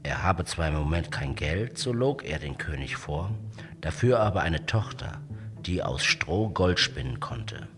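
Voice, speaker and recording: A man with a low voice tells a children's fairy tale. His scripted narration is dramatic and very animated in pitch, with clear music behind it. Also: measured pace. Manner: dramatic, expressive. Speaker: storyteller.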